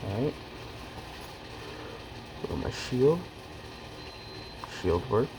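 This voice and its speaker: A man's voice: mid-range voice, animated, drawn-out words, very noisy. A man giving a casual hands-on how-to as an amateur hobbyist, muttering to himself in short fragments as he works. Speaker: hobbyist demonstrating electronics repair.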